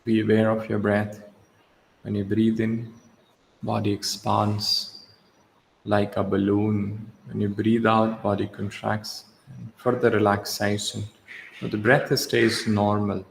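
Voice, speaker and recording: A man leading a guided relaxation as a yoga instructor, speaking in short, measured instructions with long pauses, recorded in a reverberant room. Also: fairly low pitch, monotone, vocal fry. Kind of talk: slow guided meditation. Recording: echoey reverberant room, faint background hiss.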